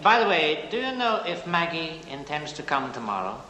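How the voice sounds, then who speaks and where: A grandiose sect leader who talks in pious, theatrical swoops, his bright, lively voice rising and falling. He sits a touch high for a man. The sound carries an echoey, hall-like reverb with faint hiss, like an old TV drama set. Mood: smooth. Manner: pious, ingratiating, theatrical pauses. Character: smooth, grandiose Norwegian-born male sect leader. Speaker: cult leader. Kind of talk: 1960s television drama acting.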